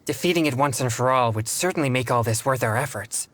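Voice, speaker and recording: A man playing an earnest, polite teenage boy exorcist in a video game, speaking in a formal, measured way. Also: mid-range voice, fairly bright tone, lightly acted. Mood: reassuring, earnest.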